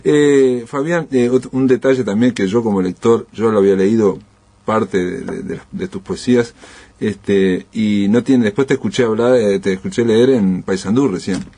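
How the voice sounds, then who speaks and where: A male radio host with a Uruguayan Spanish accent, low in pitch, with a slightly husky voice. He talks in a loose, rambling way and keeps up a steady stream of "ujú" as his guest speaks. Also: normal speed. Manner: frequent backchannel "ujú", rambling with "digamos" and "este". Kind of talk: casual radio interview.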